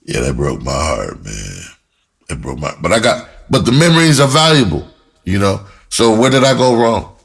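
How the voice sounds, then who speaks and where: A man's voice: fairly low pitch, sing-song, normal speed, creaky, a bit bright, breathy edge. A middle-aged American man telling a personal story casually and candidly, in a rambling, slangy way with explicit language. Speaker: storyteller, writer and music producer.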